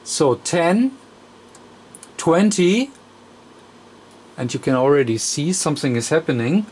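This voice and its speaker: A man demonstrating a radio repair at his workbench, talking haltingly with many fillers and counting aloud as he adjusts. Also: on the high side, lively intonation, a little mellow, husky. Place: electronics workbench.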